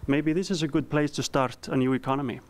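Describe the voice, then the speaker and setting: A man in his thirties from Iceland with an Icelandic accent, giving a conference presentation with a handheld mic, earnest and halting with frequent ums. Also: on the high side, fairly even intonation, noisy background. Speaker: company founder giving a presentation. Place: conference room.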